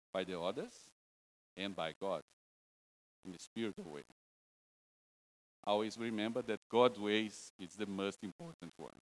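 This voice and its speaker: A male preacher from Brazil speaks with a Brazilian Portuguese accent, delivering a casual church sermon. His English is broken and halting, and he keeps the tone light with jokes at his own expense. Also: fairly low pitch, rough recording. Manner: joking, self-deprecating, broken English. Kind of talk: informal sermon.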